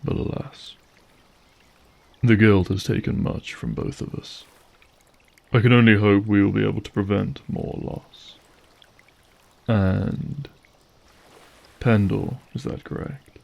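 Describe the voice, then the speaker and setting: A man's voice: lowish voice, fairly even intonation, takes it slowly, gravelly, pauses often, darkish tone, exaggerated voice. A man playing a courtly, aristocratic monster lord in an audio drama, speaking with formal, gracious courtesy. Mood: solemn, gracious.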